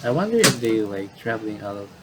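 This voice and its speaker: A man's voice: fairly low pitch, sing-song, fast, heavy background noise. A man doing casual game commentary, reading visual novel dialogue aloud and often stumbling and repeating phrases.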